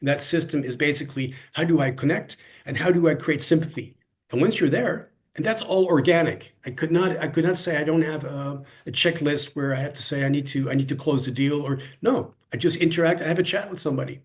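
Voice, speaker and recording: Man with a higher voice. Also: fairly quick. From Canada. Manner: thoughtful, many restarts and repeated words. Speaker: interview guest, cross-cultural coach. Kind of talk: conversational interview.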